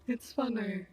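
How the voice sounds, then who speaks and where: A woman playing a mysterious, disheveled female scientist in a dark audio drama, speaking deliberately and teasingly. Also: fairly high pitch. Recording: roomy distant echo with music underneath.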